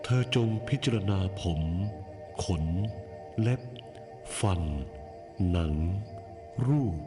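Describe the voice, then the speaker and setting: A man playing the Buddha in an animated film, a calm, wise teacher speaking in a solemn, measured way with gentle instruction. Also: on the low side, music in the background. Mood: solemn, instructive. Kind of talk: scripted animated film dialogue.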